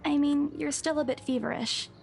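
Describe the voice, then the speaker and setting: An actress playing a caring, proud young woman in a visual novel, earnest and gently scolding. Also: sits high, steady tone, normal speed, creaky. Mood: concerned. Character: caring, proud young woman, fussing over her friend. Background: music.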